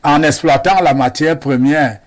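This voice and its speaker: A man from Cameroon, speaking as a guest on a televised panel debate in a measured, lecturing way. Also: a little high.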